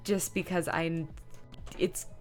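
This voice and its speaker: A woman's voice: sits low, steady tone, slow pace, faint music behind. A woman streamer chatting casually as she works, rambling and thinking aloud. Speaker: live streamer; comic dub director. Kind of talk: casual livestream chat while working.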